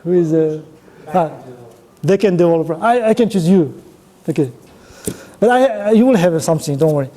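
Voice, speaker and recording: High-voiced, mellow Uzbek-accented man presenting. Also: lively intonation. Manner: halting, choppy non-native English. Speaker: conference speaker running an audience networking game. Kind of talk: informal interactive presentation to an audience.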